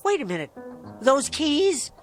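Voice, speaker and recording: A woman's voice: high voice, big pitch swings, unhurried, faint music behind. An actress playing a bitter, weary, sarcastic woman, with dry, clipped phrasing in a vintage television drama. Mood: irritated. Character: bitter, weary, sarcastic niece tending a robot. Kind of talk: 1960s television drama acting.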